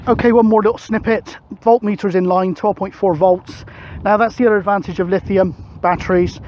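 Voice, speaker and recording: A British man with a notably high voice and a very soft, mellow tone. He chats casually outdoors, easygoing and colloquial, like someone talking through his hobby. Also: a little hiss or hum. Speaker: vlogger and CB radio operator. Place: outdoors on a grassy hilltop.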